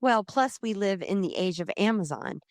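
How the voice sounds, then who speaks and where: A woman in her fifties, a guest in an interview, speaking in a heartfelt, animated way. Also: medium pitch, normal speed, no room sound, studio quality. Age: 50s. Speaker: guest, community founder. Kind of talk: conversational interview.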